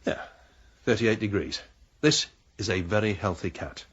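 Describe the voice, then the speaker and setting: An actor playing a smooth, flattering male vet in a radio drama, soothing and suave in his flirting. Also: fairly low pitch, moderate pace, muffled recording. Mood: reassuring.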